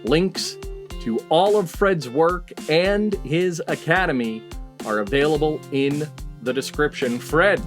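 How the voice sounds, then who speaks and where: Higher-pitched male podcast host. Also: animated, slow pace, soft background music. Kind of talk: podcast introduction and interviewing.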